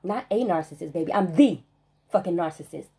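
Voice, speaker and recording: A woman vlogger in a spiritual rant monologue, emphatic and profane, stressing single words with long pauses. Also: fairly low pitch, lively intonation, creaky, mellow, breathy edge.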